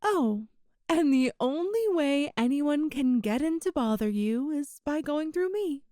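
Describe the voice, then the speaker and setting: A woman's voice: sits high, lively intonation, warm tone, dry recording, studio quality. A woman playing a flirtatious, possessive boss smitten with an employee, speaking in a coy, teasing way in an intimate ASMR audio roleplay. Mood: coy persuasion. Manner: slow, coy, teasing, breathy pauses.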